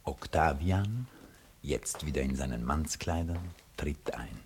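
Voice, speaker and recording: A man calmly reading the stage directions of a radio play. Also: a bass voice, takes it slowly, creaky, a little hiss or hum.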